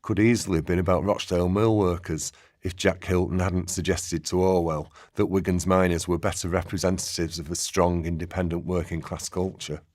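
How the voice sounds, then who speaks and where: A man's voice: sits low, steady tone, fairly quick, hardly any pauses, darkish tone, no room sound, studio quality. A man narrating a scripted, documentary-style radio play.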